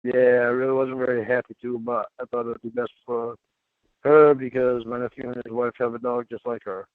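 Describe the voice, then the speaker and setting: A man's voice: medium pitch, flat delivery, a little fast, dry recording. A man reading a chat exchange aloud and adding his own asides, heard through narrow, tinny playback.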